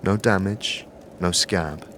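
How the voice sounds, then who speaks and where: A man narrating a fantasy audio series in scripted, measured, descriptive second-person storytelling. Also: low-pitched, fairly even intonation, fairly bright tone, slight background noise.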